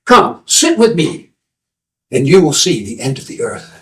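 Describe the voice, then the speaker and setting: A man voicing a sly, calm old male seer who speaks in an unhurried, knowing way. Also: on the high side, echoey room, far from the mic. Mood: serene.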